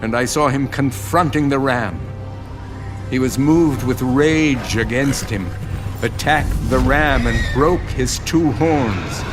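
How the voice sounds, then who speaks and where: Casting: male actor as biblical prophet narrator, slow, mid-pitched, solemn storyteller, music under the scripture drama. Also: lightly acted.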